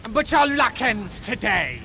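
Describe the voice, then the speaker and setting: A cocky god of speed in a video game. His high voice swoops about as he gloats and mocks in a slow drawl, over loud music. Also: very animated, background noise, heavily acted. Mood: gloating, mocking. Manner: mocking, drawn-out gloating. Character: cocky, taunting swift male god of Olympus. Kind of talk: video game cutscene acting.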